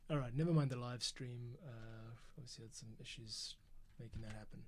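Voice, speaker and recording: A man's voice: medium pitch, a little fast, darkish tone. A man casually thinking aloud as he works on composing and editing audio, muttering to himself. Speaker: podcast composer and editor. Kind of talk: casual work-along commentary. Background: music playback, computer keyboard and typing.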